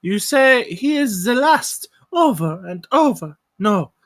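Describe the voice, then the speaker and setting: A woman's voice: fairly high pitch, very animated, takes it slowly. A woman playing an elegant, furious young aristocratic wife in a dramatic table read. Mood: exasperated, furious.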